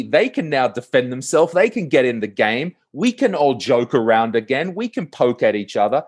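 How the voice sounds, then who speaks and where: A man with an Australian accent, a podcast guest talking casually and animatedly, with lots of fillers and quick restarts. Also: mid-range voice, darkish tone. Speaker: podcast guest, comedy producer. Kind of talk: casual conversational interview.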